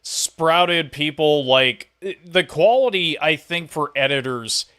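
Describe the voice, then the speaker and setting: A man's voice: fairly high pitch, animated, slow pace, bright, forceful tone. A man hosting a casual, conversational podcast interview.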